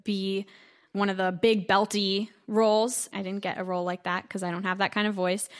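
A woman's voice: mid-range voice, little pitch movement, normal speed. A teenage girl from New York, a young classical singer, talking earnestly in a radio interview. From Brooklyn, New York. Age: teens. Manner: earnest, youthful, sometimes laughing.

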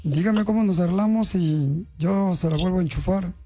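A man's voice: high-pitched, moderate pace, music in the background. A male radio host, posing as a government official in a prank phone call, teasing and mock-stern.

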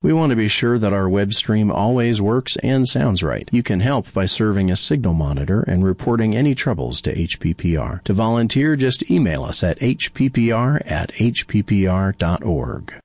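Lowish male promo announcer, dry. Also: lively intonation, moderate pace, few pauses, darkish tone, no echo. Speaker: station announcer. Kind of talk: station promo.

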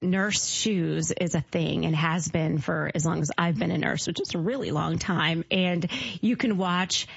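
A woman's voice: lowish voice, a little slow, vocal fry, hardly any pauses, breathy edge, no room sound, muffled recording. A middle-aged woman who works as a nurse, chatting on a radio show in an affirming, talkative way. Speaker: nurse, healthcare co-presenter. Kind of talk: casual radio chat. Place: radio studio.

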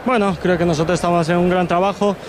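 A man giving a guarded, measured half-time interview as a football player. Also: sits high, steady tone, background noise. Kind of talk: short pitchside interview.

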